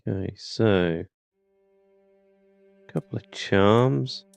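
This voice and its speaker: A man's voice: lowish voice, drawn-out words, creaky, dry recording. A British man giving casual gameplay commentary, musing and thinking aloud in questions as he plays. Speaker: gamer, let's play host. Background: faint game music and sounds.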